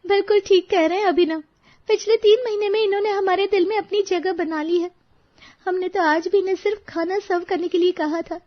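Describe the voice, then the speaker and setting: A woman voicing a grateful young mother who effusively praises her household cook in an animated moral story. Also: very high voice, fairly quick, muffled recording. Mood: warm praise. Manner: effusive, admiring.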